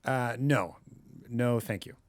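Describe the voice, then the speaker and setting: An actor playing an awkward, guarded loner in an audio drama, polite but on the defensive. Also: male voice, mid-range voice, slow pace, lightly acted. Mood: wary. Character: guarded, awkward loner man who talks to his gourd.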